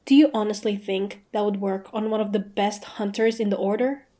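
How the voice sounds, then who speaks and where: A woman's voice: mid-range voice, moderate pace, dark tone, close to an everyday voice. A woman playing a proud, defiant monster hunter in a dramatic fantasy audio roleplay. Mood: taunting, confident. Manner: haughty, taunting, dramatic. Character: proud, defiant young female monster hunter, grudgingly curious.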